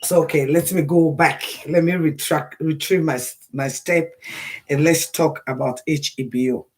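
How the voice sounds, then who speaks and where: A hoarse woman from Sierra Leone with a Sierra Leonean accent, talking casually on a livestream and repeating phrases for emphasis. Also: deep voice, steady tone, normal speed, a little mellow. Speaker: livestream commentator.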